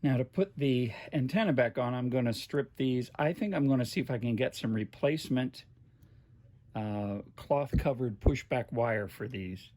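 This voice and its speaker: A man giving a casual hands-on demonstration, talking in an unhurried, halting way as he works. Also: mid-range voice, mellow. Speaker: host of a vintage radio restoration video.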